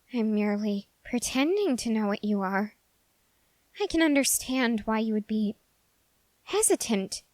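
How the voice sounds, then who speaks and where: An obsessive young male soldier, voiced by a woman, speaks slowly and close in a very dry recording. He is intense and halting, with formal phrasing, in an intimate ASMR roleplay. His voice sits fairly high for the part. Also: no echo.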